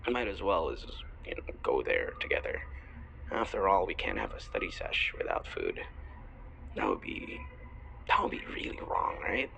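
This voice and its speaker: A man playing an affectionate, playful university student best friend in an intimate roleplay, talking softly and a little hesitantly in a husky voice. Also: deep voice, wildly swooping pitch, normal speed, in a whisper, full character voice, rough recording. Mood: casual, playful. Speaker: audio roleplay voice actor. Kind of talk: intimate boyfriend ASMR roleplay.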